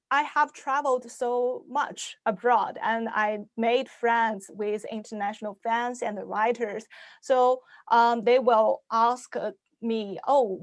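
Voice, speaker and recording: Slow, hesitant woman, Chinese native speaker. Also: on the high side, no room sound. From Shanghai, China. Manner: hesitant, many "uh" and "um" fillers, restarts. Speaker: science fiction author giving a talk. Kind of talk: online talk.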